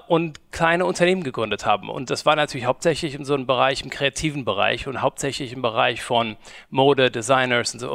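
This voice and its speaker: A man in his forties from Germany speaks as an interview guest, his voice gravelly with fry. He talks haltingly, filling gaps with hesitations and restarting sentences. The sound is dry and close, with no room echo. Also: medium pitch, fairly bright tone. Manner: hesitant, many fillers and restarts. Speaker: guest, media company co-founder. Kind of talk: conversational interview.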